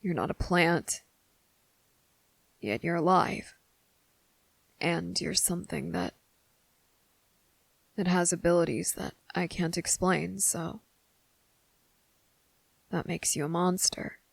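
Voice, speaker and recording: A woman playing a wary, blunt but softening young boy in an ASMR roleplay, speaking deliberately with hesitant pauses. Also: fairly low pitch, creaky, husky, no room sound, heavily acted. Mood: curious, puzzled. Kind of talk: ASMR roleplay audio drama.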